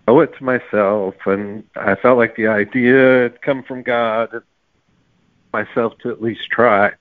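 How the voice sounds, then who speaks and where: A man's voice: fairly low pitch, no echo. Male interview guest, conversational storyteller, explanatory manner, dry narrowband audio. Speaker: author guest. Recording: narrow phone-like sound, dry.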